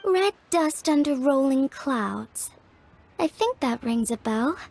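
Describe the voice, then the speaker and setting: An actress playing a polite, earnest and excitable young craftswoman in a video game. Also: high voice, slow, faint music behind, exaggerated voice.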